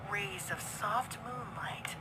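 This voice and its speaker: A woman's voice: medium pitch, slow pace, whispered. A woman reading scripted horror fiction as a dramatic narrator.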